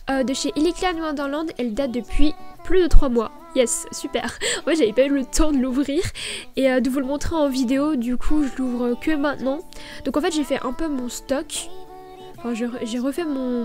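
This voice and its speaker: A woman's voice: high-pitched, a little mellow. A woman narrating a casual voice-over for her small business vlog, stuffy with a head cold, hesitant and stammering with many fillers.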